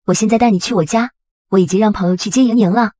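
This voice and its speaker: A woman's voice: mid-range voice, quick pace, dark tone, no room sound, natural voice. A woman playing a shrewd, bitter and resolute businesswoman in an audio comic drama. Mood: cool.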